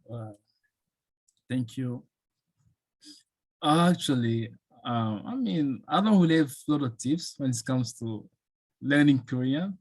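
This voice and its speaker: A man whose first language is French, answering questions as a guest speaker in an online session, pausing often with fillers. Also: mid-range voice, lively intonation, darkish tone, no room sound. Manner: hesitant, many fillers, thinking aloud. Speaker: guest speaker on studying abroad.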